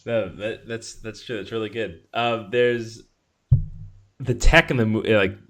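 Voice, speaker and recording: A man with a slightly low voice talks as a podcast co-host. His casual film chat comes out halting and rambling, circling toward each point in loose conversational phrases. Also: steady tone, a bit bright.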